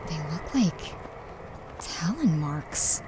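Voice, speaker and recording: A woman's voice: low-pitched, very animated, darkish tone, whispered, exaggerated voice, poor audio. An actress playing a young woman lost in a haunted corn maze, wary and then frightened, in a radio play. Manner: wary and frightened, quick exclamations. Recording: clear background noise and ambience.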